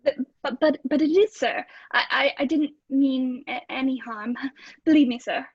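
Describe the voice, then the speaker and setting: A woman playing a timid, eager-to-please landlady in a radio play, hesitant and stammering, with a hushed, breathy delivery. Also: high voice, normal speed, no room sound, muffled recording. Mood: flustered, meek. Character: timid, eager-to-please married landlady in an old British lodging house. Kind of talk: old-time radio play acting.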